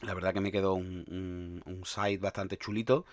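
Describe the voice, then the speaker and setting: Low-voiced Andalusian man, rambling podcast. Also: flat delivery, moderate pace, dry recording, studio quality. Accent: Andalusian Spanish, softened. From Andalusia, Spain. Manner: rambling, with frequent restarts and fillers. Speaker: podcast host. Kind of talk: solo podcast monologue.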